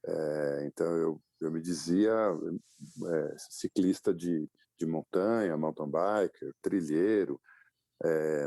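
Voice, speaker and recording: A Brazilian man in his fifties, a guest telling his life story in a relaxed interview, with frequent fillers and restarts. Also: low voice, creaky, no room sound, studio quality. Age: 50s. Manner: reflective, many fillers and restarts. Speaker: guest, publisher and adventurer.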